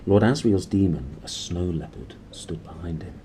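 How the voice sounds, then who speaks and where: A man's voice: deep voice, lively intonation, normal speed, warm tone, a little hiss or hum. A man reading a story aloud expressively. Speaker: reader and host. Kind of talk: expressive audiobook narration with casual asides.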